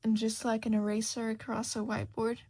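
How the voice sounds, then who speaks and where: A woman narrating fan fiction dramatically and expressively, at times hushed. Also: on the high side, level tone, a little fast. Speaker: podfic narrator.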